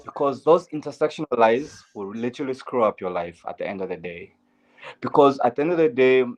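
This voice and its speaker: A man's voice: mid-range voice, a little mellow, poor audio. Earnest Zambian man telling his story. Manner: earnest and candid, with frequent "um" and "at the end of the day". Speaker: guest, LGBTQ advocate. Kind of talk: interview, personal story.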